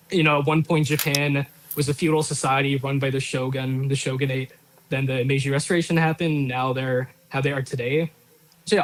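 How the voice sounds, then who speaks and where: A man's voice: fairly high pitch, rough recording. Man politely pushing back in debate. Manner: questioning, politely disagreeing. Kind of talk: casual political discussion.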